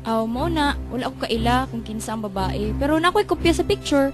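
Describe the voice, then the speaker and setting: A woman acting high-voiced, obsessive young Filipina. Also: lively intonation, a little fast, natural voice. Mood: guarded, casual. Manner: intense, swinging between casual and unhinged. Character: obsessive, possessive young woman hiding deadly jealous love. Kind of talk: melodramatic radio drama acting. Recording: music under the voice.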